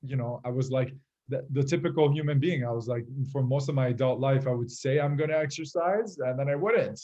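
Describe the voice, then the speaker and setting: A man from Iran who moved away as a child, telling his personal story casually as a podcast guest, in a halting, reflective way. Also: mid-range voice, mellow, lo-fi sound. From Iran, moved to Canada at eight. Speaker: podcast guest, fitness group leader.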